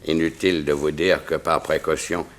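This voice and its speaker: An actor playing a dignified royal chamberlain in a 1960s French radio drama, speaking formally and precisely. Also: male voice, very deep voice, level tone, normal speed. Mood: precise, earnest.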